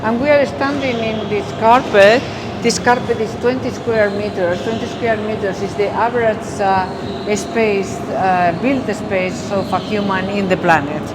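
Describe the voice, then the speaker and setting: A woman with a Spanish accent walks listeners through an exhibition she curated. Her delivery is halting and explanatory, at a middle pitch. A clear ambient hum of the gallery sits behind her voice. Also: fairly even intonation. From Spain. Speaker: exhibition curator, architecture professor. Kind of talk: guided exhibition walkthrough.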